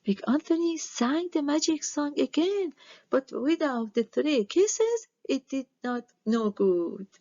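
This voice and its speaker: A woman narrates a children's book expressively. She takes her time and lets some words crackle into fry. The recording is dry and close. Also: high-pitched, expressive, takes it slowly, warm tone, no echo, dull audio. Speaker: storybook reader.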